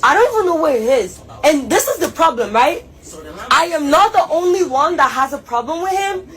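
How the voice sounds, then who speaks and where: A young woman talking loudly and venting in an upset, rapid way to police. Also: sits high, big pitch swings. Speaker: older sister and legal guardian.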